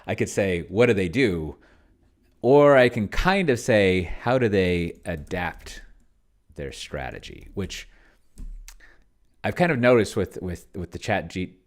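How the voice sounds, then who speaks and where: A man giving casual live commentary as he plays a tabletop game solo, rambling and hesitant as he thinks out loud. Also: sits low, animated, measured pace, pauses often. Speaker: solo tabletop game player and video host.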